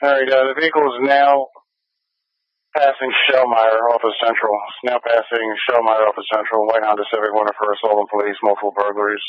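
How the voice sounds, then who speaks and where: A man's voice: on the low side, little pitch movement, fairly quick, no room sound, lo-fi sound. An American man working as a police radio dispatcher, repeating key details in clipped, official phrases over a scanner feed. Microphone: radio transmission.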